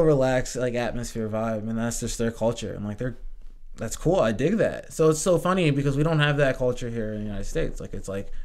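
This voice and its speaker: A man in casual podcast chat, rambling with constant "like" fillers and casual swearing. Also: mid-range voice.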